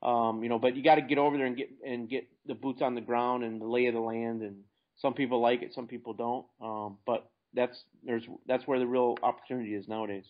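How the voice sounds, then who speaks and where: A man's voice: medium pitch, level tone, fairly quick. Dull-sounding American man in an interview. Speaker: interview guest, investor. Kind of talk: casual interview talk.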